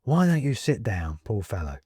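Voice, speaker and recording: A man voicing a wary, soothing gentleman who speaks slowly and conspiratorially. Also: lowish voice, husky, no room sound, clean studio sound. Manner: slow, calming, conspiratorial. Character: soothing, wary middle-aged gentleman householder. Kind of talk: audiobook character reading.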